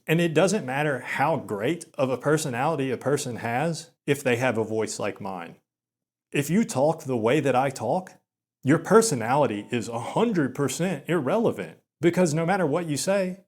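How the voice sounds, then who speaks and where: Young man, Southern accent, slow, monotone, stammering, gloomy monologue. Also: medium pitch. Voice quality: slow, monotone delivery with filler words and stammers. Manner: gloomy, repetitive, resigned. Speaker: video essayist. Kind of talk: self-deprecating monologue.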